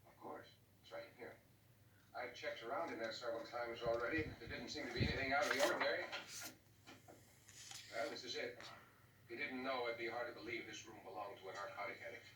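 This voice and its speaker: A man's voice: mid-range voice, fairly quick, pauses often, pushed and bright, close to an everyday voice. An actor playing a worried, worn-down middle-aged father in a 1960s TV crime drama, speaking earnestly. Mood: weary, resigned. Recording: roomy, distant, slightly noisy television soundtrack.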